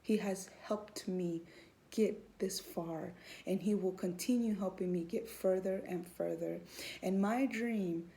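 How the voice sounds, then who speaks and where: A woman's voice: fairly low pitch, slow pace, creaky, dark tone. A woman in her thirties from Houston, Texas, giving an earnest personal faith testimony, hesitant with many ums. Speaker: student and mother.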